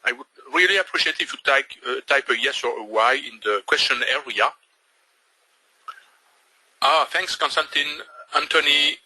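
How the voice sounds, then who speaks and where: An older man with a Belgian French accent speaks in a forceful, tense tone with a husky edge. He presents a webinar slowly and haltingly, still searching for words in English. The sound is dry and close, picked up by a computer microphone. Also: medium pitch, no room sound, low-quality recording. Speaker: trading instructor.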